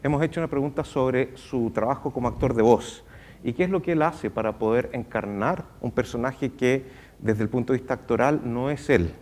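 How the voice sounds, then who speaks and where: Mellow-voiced bilingual male moderator. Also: medium pitch. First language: Spanish. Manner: halting questions, then fluent translating. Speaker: moderator and interpreter. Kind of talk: hosting a bilingual Q&A. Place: university auditorium.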